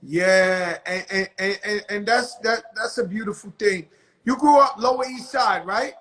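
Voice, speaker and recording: A man's voice: high voice, a little slow, a bit bright. A man from the South Bronx, hosting a casual interview in blunt street slang. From South Bronx, New York. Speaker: host.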